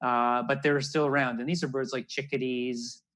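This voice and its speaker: A man's voice: medium pitch, level tone, moderate pace, fairly bright tone. Man explaining nature to children. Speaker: naturalist, storyteller. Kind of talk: educational talk for children. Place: room at home, indoors. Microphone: wired earbuds on a video call.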